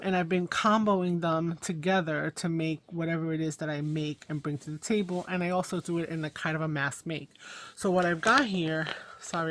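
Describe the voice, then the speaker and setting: A hoarse woman in middle age talks through a craft project in a lowish voice. She rambles, corrects herself and fills gaps with ums, as in a casual vlog. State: hoarse, losing her voice. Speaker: craft channel host.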